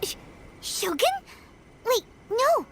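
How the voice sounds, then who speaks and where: A woman voicing a small, excitable, childlike fairy companion in a video game, with a squeaky, chatty delivery. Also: takes it slowly, gravelly, very noisy, heavily acted. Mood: startled. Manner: chatty, exclaiming, refers to herself by name. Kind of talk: English video game voice acting. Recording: loud game music behind. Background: game music.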